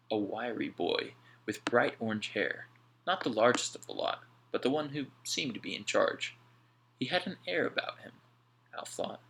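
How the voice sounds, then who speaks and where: A man reading an audiobook aloud in an expressive storytelling style. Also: lowish voice, vocal fry, slight background noise, rough recording. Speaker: audiobook reader.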